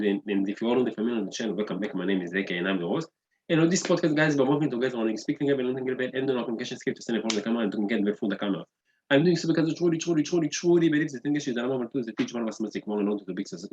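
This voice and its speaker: A man in his thirties whose first language is Hebrew, hosting his own video podcast with excitable, repetitive talk that keeps calling out to "guys". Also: medium pitch, lo-fi sound, slight background noise. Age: 30s. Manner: excitable, repeats words and says "guys" often. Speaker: podcast host. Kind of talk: enthusiastic solo video podcast intro.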